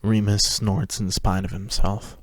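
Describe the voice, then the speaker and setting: A man's voice: on the low side, level tone, a little slow, dry recording, studio quality. A man narrating a scripted story as a podcast host, reading it dramatically.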